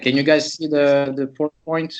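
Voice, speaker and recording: A man's voice: a little high, flat delivery, moderate pace, highs cut off. A man from Switzerland, a chiropractor, presents a patient case to students in a loose, conversational way, thinking aloud as he goes.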